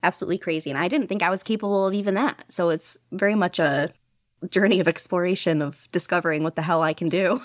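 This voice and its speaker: Low-voiced woman, candid and rambling. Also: dry recording, clean studio sound. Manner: rambling, candid, self-deprecating, quick laughs. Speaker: podcast guest, podfic reader. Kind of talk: casual interview chat.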